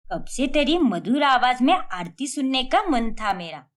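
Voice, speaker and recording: A woman's voice: high voice, big pitch swings. A woman voicing a dramatic, doting mother-in-law in a cartoon story, pleading and melodramatic. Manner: pleading, melodramatic, drawn-out words.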